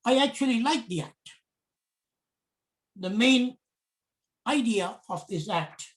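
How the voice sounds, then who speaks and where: A middle-aged man from Sri Lanka with a Sri Lankan accent, giving a prepared public talk in slow, deliberate phrases. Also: very high voice, lively intonation. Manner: slow, deliberate, measured phrasing in short pieces. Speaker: commentator.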